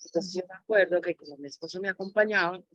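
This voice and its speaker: A woman's voice: on the low side, fast talker, a bit bright, no echo, muffled recording. A woman speaking reflectively as an interviewee, sharing personal experience as a mother. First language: Spanish.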